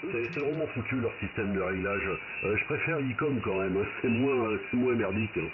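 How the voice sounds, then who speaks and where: Low-voiced guy on ham radio, tinny narrow sound, loud static noise, just chatting casually. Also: a little fast. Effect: two-way radio transmission. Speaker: amateur radio operator taking instructions. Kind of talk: casual radio contact chat. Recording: very noisy, tinny narrow-band radio reception.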